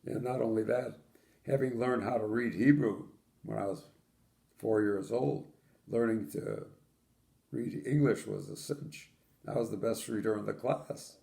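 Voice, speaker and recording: A man's voice: fairly low pitch, measured pace, gravelly, dark tone. An older man from Poland, telling his childhood memories in an oral history interview with dry, matter-of-fact humor.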